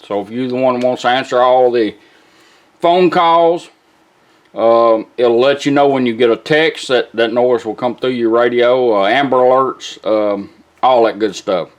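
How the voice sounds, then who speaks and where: A man's voice: mid-range voice, unhurried. A man giving an informal, folksy hands-on product demonstration, explaining each step in an instructional way. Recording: music playing behind the voice.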